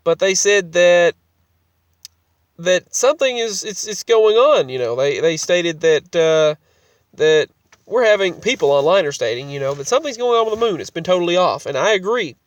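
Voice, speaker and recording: A man's voice: high-pitched, animated, normal speed, no echo, recorded from a distance. A man with a cold, sounding congested, talking casually to his vlog audience and often restarting and repeating his phrases. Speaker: vlogger.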